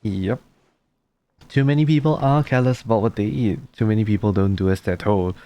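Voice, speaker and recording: Very low-voiced woman streaming a game. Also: brisk, mellow, lo-fi sound. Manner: offhand, musing aloud, reading dialogue. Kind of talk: casual playthrough commentary. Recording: game music behind.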